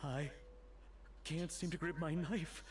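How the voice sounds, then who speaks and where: A man's voice: on the high side, steady tone, takes it slowly. A man playing a dejected, self-doubting father and cook in a video game, speaking earnestly and hesitantly. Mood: bewildered, distressed. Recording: music under the voice.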